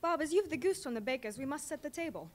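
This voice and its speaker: A woman playing a bustling, sharp-tongued mother. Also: sits high, lively intonation. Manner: brisk, fussing, indignant. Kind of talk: live stage reading.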